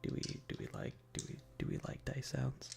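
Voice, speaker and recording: A soft-spoken, partly whispering ASMR streamer chatting casually and playfully with viewers into a close microphone, often repeating phrases. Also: fairly quick, soft background music. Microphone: close adjustable microphone.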